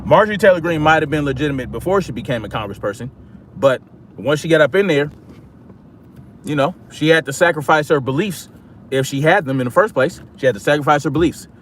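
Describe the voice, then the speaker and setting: A man's voice: a little high, lively intonation, brisk, slight background noise. An American man giving casual political commentary as a livestream host, emphatic and repeating phrases for stress.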